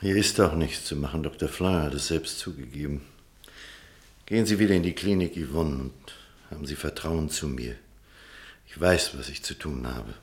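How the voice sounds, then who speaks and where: A man acting an earnest, troubled doctor in a German radio play, speaking formally and with measured insistence. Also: very deep voice, husky, dry recording. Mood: firm, polite. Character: earnest, troubled male doctor, firm and resolute. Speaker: actor in radio drama.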